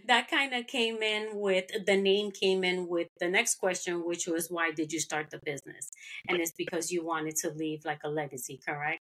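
A woman from New York hosting a podcast interview, bubbly and chatty, laughing often and agreeing quickly. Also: on the low side, steady tone, fairly bright tone. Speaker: podcast host. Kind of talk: casual interview chat.

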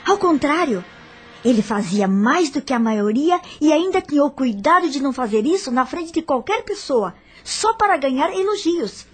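A woman's voice: high-pitched, lively intonation, normal speed. An actress playing a troubled widow in a radio soap, speaking earnestly and respectfully as she seeks understanding. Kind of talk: radio soap opera acting. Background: music.

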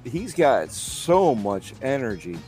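A man co-hosting a casual podcast, telling a story with many halting restarts. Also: mid-range voice, sing-song, a little mellow, faint music behind. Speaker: podcast co-host. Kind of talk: casual chat.

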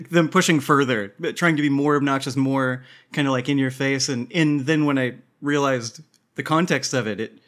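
A man's voice: mid-range voice, normal speed, a bit bright. A man talking as a guest in a casual podcast chat, hesitant and searching for words with many fillers.

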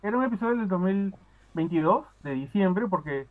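A man's voice: high voice, lively intonation, brisk. A man from Peru calling into a podcast, chatting casually with many fillers and restarts. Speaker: podcast caller. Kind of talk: casual chat. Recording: tinny phone line.

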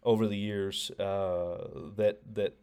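A young man co-hosting a faith podcast, talking casually with a head cold that makes him a little nasal, often pausing with ums and restarting. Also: fairly low pitch, level tone, darkish tone, clean tone. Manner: hesitant, many ums and restarts. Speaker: podcast co-host.

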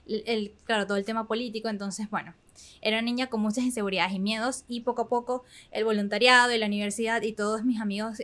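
A Venezuelan woman in her twenties, hosting a podcast and telling her own story in a candid, chatty way with fillers and soft laughs. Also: fairly high pitch. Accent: Venezuelan Spanish. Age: 20s. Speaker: podcast host. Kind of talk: casual personal monologue.

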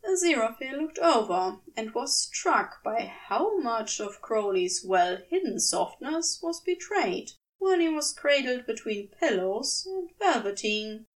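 A woman reading a fan fiction story aloud as expressive scripted narration. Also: a little high, unhurried, few pauses, far from the mic, poor audio. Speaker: fan fiction podfic reader.

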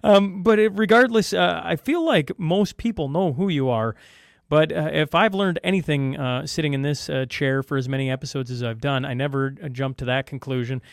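A man hosting a podcast, asking questions in a halting way with lots of fillers and restarts. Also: fairly high pitch, creaky, no echo, clean studio sound. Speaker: podcast host. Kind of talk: casual interview talk.

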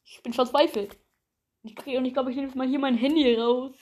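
A woman's voice: high-pitched, steady tone, brisk, a little mellow. A woman improvising a homemade audio play, chatting casually and hesitantly straight to the listeners.

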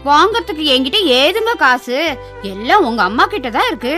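A woman's voice: high voice, big pitch swings, normal speed, bright, forceful tone, faint music behind, heavily acted. A woman playing a meek, easily flustered young wife in a radio drama, with a defensive manner.

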